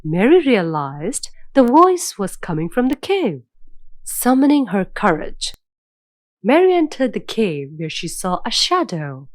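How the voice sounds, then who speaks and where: A woman narrating a scripted children's fairy tale in a measured, dramatic storytelling manner. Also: mid-range voice, big pitch swings, dry recording.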